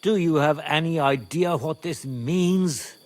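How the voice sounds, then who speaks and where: An actor playing a middle-aged medieval nobleman and father, speaking in a measured, wry and wary manner for a video game. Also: fairly high pitch, a little mellow. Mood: worried, reproachful. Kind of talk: video game cutscene acting.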